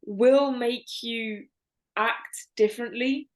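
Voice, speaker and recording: Earnest woman podcast co-host, higher voice. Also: fairly even intonation, slow pace. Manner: earnest, advice-giving, chatty. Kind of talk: casual chat.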